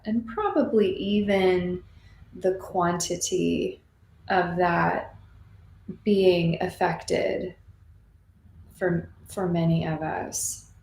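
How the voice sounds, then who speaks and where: Slow-talking woman guiding meditation, roomy sound. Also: medium pitch, darkish tone. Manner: soothing, unhurried, with long pauses. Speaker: meditation teacher. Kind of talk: guided meditation, gently spoken and unscripted. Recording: roomy echo, faint background noise.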